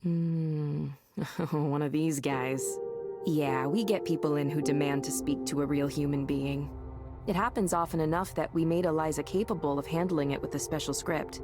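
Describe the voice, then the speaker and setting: An actress playing a pragmatic, matter-of-fact tech staffer in a visual novel, explaining things casually. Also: female voice, low-pitched, fairly even intonation, normal speed, over music, natural voice. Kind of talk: naturalistic visual novel voice acting.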